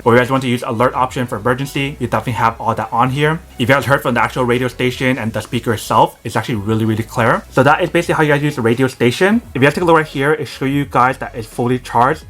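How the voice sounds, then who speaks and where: A man's voice: medium pitch, fairly bright tone. A man narrating a casual product demo, talking to viewers and repeating the same filler phrases a lot. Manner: rapid, repetitive filler phrasing, addresses viewers as "you guys". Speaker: product reviewer.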